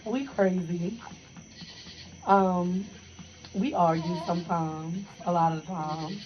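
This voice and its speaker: A hoarse woman co-hosting a podcast, chatting casually and joking with her sister in a laid-back, slangy way. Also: fairly low pitch, takes it slowly, dull audio, a little hiss or hum. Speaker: podcast co-host. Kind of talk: casual chat between sisters.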